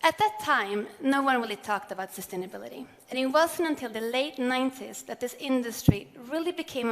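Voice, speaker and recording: A woman, giving a measured, earnest prepared keynote speech on stage. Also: a little high. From Sweden. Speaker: corporate sustainability speaker. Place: large event hall on stage. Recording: long hall reverb.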